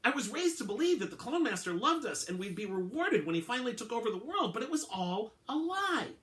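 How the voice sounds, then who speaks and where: A man's voice: very high voice, very animated, brisk, a bit bright, pitched above the performer's own voice, full character voice. A man voicing a middle-aged male clone henchman, earnest and rattled, who keeps correcting himself as he confesses in a story reading. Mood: anguished. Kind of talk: dramatic reading of a short story.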